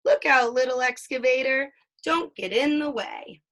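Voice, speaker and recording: A mother reading a children's picture book aloud, with sing-song storytelling and drawn-out sound words. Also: sits high, fairly bright tone. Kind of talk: children's read-aloud with questions.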